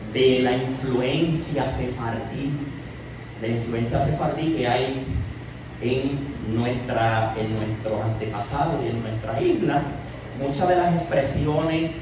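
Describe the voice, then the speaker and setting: Puerto Rican man, echoing from afar. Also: fairly high pitch. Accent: Puerto Rican Spanish. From Puerto Rico. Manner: asks the audience questions and repeats words, often adding a tag ¿verdad?. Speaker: congregation teacher. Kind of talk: Torah portion teaching. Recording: very echoey distant room recording with noise.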